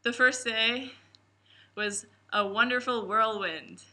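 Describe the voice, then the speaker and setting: Bright, sharp woman in her twenties, camp coach, lively interview answers, indoor room. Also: slow pace. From New Jersey, New York area. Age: 20s. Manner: expressive, acts out quotes with big pitch jumps.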